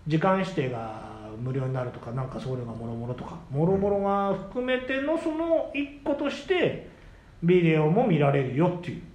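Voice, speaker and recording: A man co-hosting a casual podcast chat, bantering and quick to retort with questions. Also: fairly high pitch. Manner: animated, quick to retort and question his partner. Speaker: podcast co-host, the senior of the duo. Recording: roomy echo, faint background noise.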